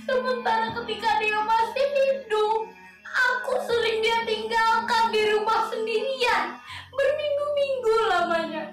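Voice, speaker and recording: A woman's voice: very high voice, unhurried. A woman playing a proud, defiant, grieving widow on stage, with declamatory, singsong delivery. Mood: mournful, bitter. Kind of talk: theatrical stage acting. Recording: echoey hall, far microphone, loud music. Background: loud music.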